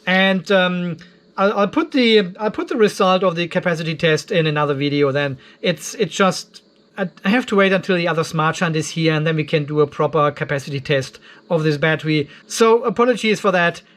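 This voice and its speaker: A man's voice: on the high side, brisk, fairly bright tone. A man hosting a casual vlog, chatting and thinking aloud as he reviews a home battery, with frequent restarts and self-corrections.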